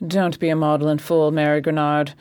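A shrewd lady detective with a lowish voice, played by a woman in a period radio drama. She is formal, self-assured and unsentimental, speaking in stern commands. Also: level tone, normal speed, a little mellow, clear, resonant tone, dry recording, natural voice. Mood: commanding.

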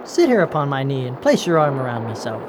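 An actor playing a lecherous, ruthless pirate captain in a radio drama, blustering in theatrical old seafaring talk. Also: male voice, mid-range voice, very animated, fast, background noise, lightly acted. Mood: lusty, boastful.

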